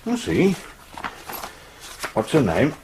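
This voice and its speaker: An actor playing a hesitant, placating English police sergeant who stammers a little in a radio drama. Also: male voice, medium pitch, wildly swooping pitch, slow pace, darkish tone, slight background noise. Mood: hesitant, polite. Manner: stammering, hesitant, patiently reassuring.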